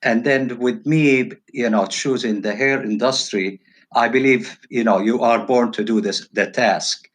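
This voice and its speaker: Middle-aged man, halting, mid-pitched. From Turkey. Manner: halting, broken phrasing. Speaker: podcast guest, hairstylist. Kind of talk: casual interview answers. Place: virtual call.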